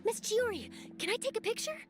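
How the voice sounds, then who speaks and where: An actress voicing an eager, chirpy young female journalist in a game, bubbly and excitable. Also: extremely high pitch, brisk, music in the background. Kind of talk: game cutscene voice acting.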